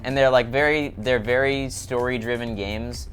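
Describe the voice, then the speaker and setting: A man co-hosting a casual gaming chat, with a deadpan, teasing way of talking. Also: mid-range voice, moderate pace, slight background noise. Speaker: co-host.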